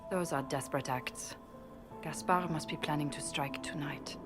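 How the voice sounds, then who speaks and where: Actress as low-voiced, wry elven spymaster. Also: female voice, steady tone, moderate pace, over music, lightly acted. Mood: serious, persuasive. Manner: wry, knowing, persuasive. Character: shrewd, wry female elven ambassador and spymaster. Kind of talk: dramatic video game dialogue.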